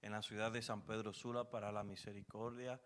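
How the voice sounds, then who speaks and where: A young man with a low-pitched voice is a guest on a church program. He answers earnestly and pauses often on fillers, putting amens between his sentences. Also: level tone. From Honduras. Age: young adult. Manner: earnest, frequent amens and hesitations.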